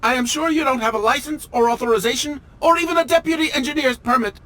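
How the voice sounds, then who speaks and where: A man's voice: extremely high pitch, fast, bright, forceful tone, rough recording. A man playing a pompous, melodramatic automaton train engineer in a video game, with formal, fussy and theatrical diction. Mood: stiffly officious. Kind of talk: video game character acting.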